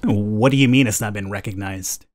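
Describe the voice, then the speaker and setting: A man's voice: lowish voice, normal speed. A man reading game narration aloud in a dramatic storytelling way, as a let's play gamer.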